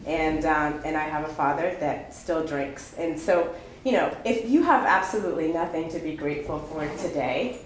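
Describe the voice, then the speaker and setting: A woman from Ethiopia giving an unprepared personal testimony at a recovery meeting, halting and candid with many fillers and restarts. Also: deep voice, a little hiss or hum. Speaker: speaker sharing her story at a recovery meeting. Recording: echoey room with faint ambience.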